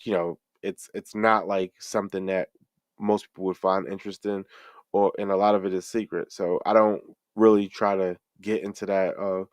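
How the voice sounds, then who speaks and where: Low, dry-sounding middle-aged man answering. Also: little pitch movement, no room sound. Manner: rambling, halting, many restarts and fillers. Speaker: guest, fellow interviewer and streamer. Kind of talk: casual interview answers.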